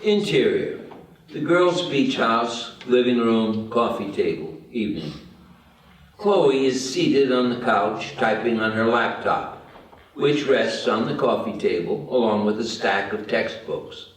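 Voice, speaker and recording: A man's voice: medium pitch, sing-song, measured pace. A man reading stage directions as scripted narration at a table read. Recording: very echoey room, far from mic.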